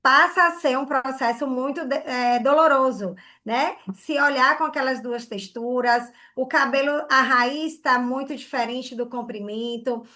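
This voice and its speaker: A woman's voice: high voice, unhurried, few pauses, sharp tone. A woman from Bahia, giving an online hair care class in an earnest way and often checking in with "né?" and "tá?". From Bahia, Brazil.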